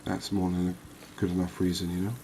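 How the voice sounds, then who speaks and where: A man giving calm, unhurried, soft-spoken ASMR gameplay commentary, musing aloud as he plays. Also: low voice, slight background noise. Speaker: gaming video host.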